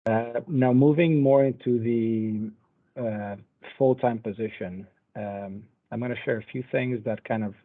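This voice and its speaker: A man in his twenties from Portugal, giving a relaxed, conversational talk in an online lecture. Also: mid-range voice, a little slow. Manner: relaxed, conversational, loosely paced. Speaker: panel speaker. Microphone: video call. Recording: tinny phone-like video call audio.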